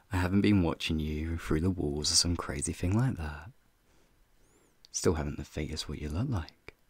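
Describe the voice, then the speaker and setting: A man voicing a flirty, teasing male werewolf in an ASMR roleplay, with a deep, gravelly, husky voice and folksy slang. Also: a little mellow, dry recording, full character voice. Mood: playful, reassuring. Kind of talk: ASMR roleplay, speaking to the listener.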